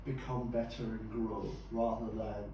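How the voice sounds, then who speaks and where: Measured, encouraging man with a warm tone, heard at a distance. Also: on the low side, flat delivery, slight background noise. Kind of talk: group discussion. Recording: echoey room, distant mic.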